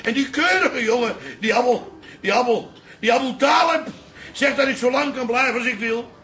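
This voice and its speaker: A man's voice: extremely high pitch, moderate pace, sharp tone. An actor playing a stubborn, wily old grandfather in a radio drama, grumbly and theatrical. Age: older.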